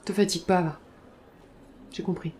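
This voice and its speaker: A woman acting in an audio drama as a cynical, sharp-tongued former police worker, blunt and slangy. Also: on the low side, fairly even intonation, moderate pace, a little hiss or hum, lightly acted. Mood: cynical, irritated.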